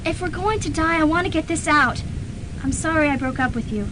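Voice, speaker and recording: Woman playing a very high, ditzy college girl. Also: brisk, natural voice. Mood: awkward, vain. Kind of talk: student radio comedy-drama acting. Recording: noisy old student radio recording.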